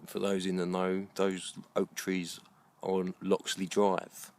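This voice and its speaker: A middle-aged British man giving a slow, reflective monologue in an earnest, deliberate way with halting phrasing. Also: low-pitched, monotone, a little mellow. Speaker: video blogger.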